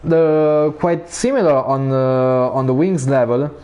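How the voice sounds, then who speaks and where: A man's voice: a little slow, mellow, dull audio. A man with an Italian accent giving live commentary on an online racing league, full of "uh" fillers, his voice rising when cars fight for position. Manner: frequent "uh" fillers, rises in pitch during battles. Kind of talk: live esports race commentary.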